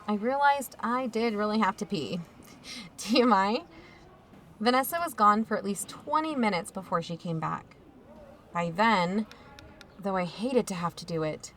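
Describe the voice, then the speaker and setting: An actress playing a watchful, protective aunt in a first-person audio drama, conversational and wry. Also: female voice, on the high side, fairly bright tone, a little hiss or hum. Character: watchful, protective aunt narrating her own mystery.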